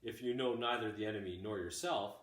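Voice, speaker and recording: A man reading a classic text aloud like a teacher, in measured, deliberate phrases. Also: on the low side, fairly bright tone. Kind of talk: audiobook-style read-aloud of a classic text. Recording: roomy, echoey home recording.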